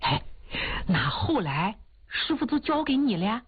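Woman putting on a sly teasing old man, high strained voice, husky, tinny sound, faint music. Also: sing-song, measured pace, heavily acted. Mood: needling. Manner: mocking, sly banter. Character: teasing old man, coffin-shop keeper.

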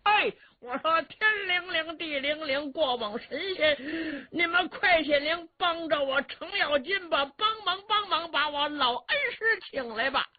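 A woman storyteller voicing a cornered, blustering male warrior who wheedles and wails in drawn-out pleas. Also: high voice, expressive, phone-quality sound, pitched above the performer's own voice. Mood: wailing plea. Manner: wailing drawn-out chants, wheedling.

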